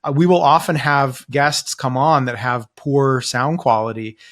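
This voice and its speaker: A man's voice: medium pitch, fairly even intonation, a little slow, fairly bright tone. A man hosting a podcast interview, speaking in an emphatic conversational way as he presses his point. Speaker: podcast host.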